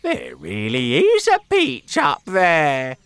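A reader voicing a greedy, loud, bossy old aunt in a storybook reading, bellowing in slow drawn-out words. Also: mid-range voice, huge pitch swings, no room sound, voice pushed up, heavily acted.

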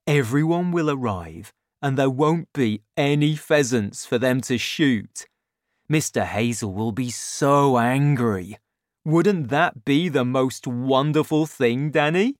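A man reading an audiobook, voicing a scheming father in a lowered, softer, confiding voice. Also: slow, no room sound, clean studio sound, close to an everyday voice. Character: warm, scheming father, quietly resentful. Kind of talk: audiobook character voice.

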